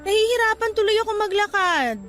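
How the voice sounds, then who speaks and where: A Filipino-accented woman acting in a radio drama as a meek, gentle young girlfriend with an apologetic manner. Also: very high-pitched, brisk, faint music behind. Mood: pained, pleading. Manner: pleading, soft-hearted, apologetic.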